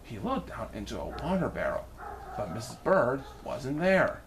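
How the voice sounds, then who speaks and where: A woman reading a children's story aloud in an expressive narrating style, with noise behind her. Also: rumbling low, normal speed. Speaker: storybook reader.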